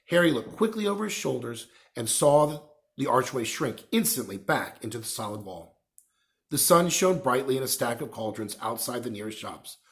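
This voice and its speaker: A man reading a children's novel aloud as an expressive storyteller. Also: mid-range voice, normal speed, vocal fry. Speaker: reader, storyteller.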